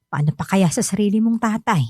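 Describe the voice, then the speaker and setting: An actress playing a gentle, sorrowful middle-aged mother who pleads softly and hesitantly with her grown son in a radio drama. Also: medium pitch, a little fast, slightly rough, no echo, clean studio sound, lightly acted. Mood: gently admonishing. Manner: soft, pleading, hesitant confessions.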